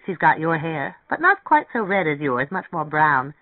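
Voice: mid-range voice, sing-song, normal speed, no echo. A narrator voicing a pleasant, chatty landlady in her sixties in an audiobook. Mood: friendly, curious. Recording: thin, phone-like band-limited audio.

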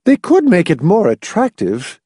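Audiobook mother by a male reader: raised pitch, animated, reproving, prim, irritable and easily outraged. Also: normal speed, no echo, studio quality. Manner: reproving, tight, indignant. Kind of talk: audiobook character voice.

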